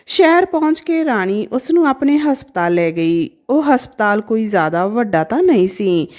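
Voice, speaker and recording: High, lively woman telling an emotional story. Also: moderate pace. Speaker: storyteller narrator. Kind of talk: scripted emotional moral story narration.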